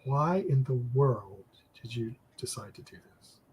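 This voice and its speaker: A man's voice: mid-range voice, animated, measured pace, a little mellow, slight background noise, poor audio. A man hosting a live-stream chat on a video call, friendly, reading out viewer comments.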